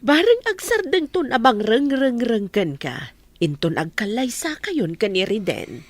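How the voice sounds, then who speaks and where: A woman playing an excited, doting middle-aged mother in an Ilocano radio drama. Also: on the high side, measured pace, no echo. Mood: excited, reassuring.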